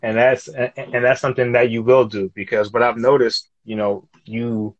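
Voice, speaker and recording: A man's voice: mid-range voice, flat delivery, normal speed, dry recording, highs cut off. A man hosting a podcast interview, encouraging his guest and hyping her up with slang. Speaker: podcast host. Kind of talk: casual conversational interview.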